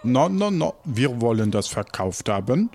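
A proud, haggling cook and trader , played by a man at a tabletop game. He is pompous and likes French interjections, in a mid-pitched voice over faint background noise. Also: sing-song, unhurried, darkish tone. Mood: insistent. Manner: pompous, drops in French words.

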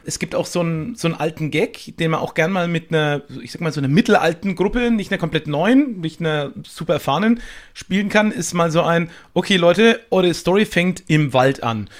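A man's voice: on the high side, brisk. A man chatting as a podcast guest, explaining improv in a talkative, casual way with little examples.

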